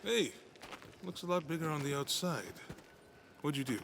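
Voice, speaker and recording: A man's voice: a little high, animated, normal speed, gravelly. An actor playing an easygoing male friend helping on an expedition in a video game. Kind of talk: video game cutscene acting. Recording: game audio with ambient and vehicle noise.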